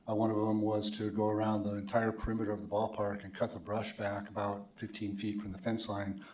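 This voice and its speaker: A man giving a plain, steady report as a ballpark association representative during public comment. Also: lowish voice, brisk. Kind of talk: public comment at a council meeting. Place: council chamber. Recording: echoey council chamber.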